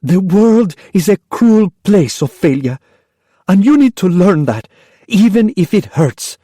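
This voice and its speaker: A man's voice: sits high, big pitch swings, unhurried, no room sound, studio quality, pitched above the performer's own voice, full character voice. A man doing a stern, weary mother. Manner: sharp, impatient.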